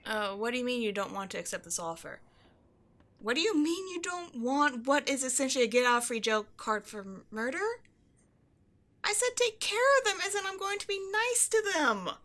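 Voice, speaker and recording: Woman acting bright-voiced, melodramatic petty lady ghost. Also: high voice, big pitch swings, moderate pace. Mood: exasperated. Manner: theatrical, mock-sinister, easily flustered and exasperated. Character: petty, melodramatic female ghost haunting an old horror film. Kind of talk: scripted comedic audio roleplay, addressed to the listener.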